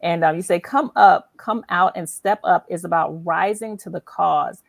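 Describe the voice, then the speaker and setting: A woman's voice: lowish voice, normal speed, gravelly, dry recording. A woman hosting a conversational faith-based interview, speaking affirmingly and repeating phrases for emphasis. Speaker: interview show host.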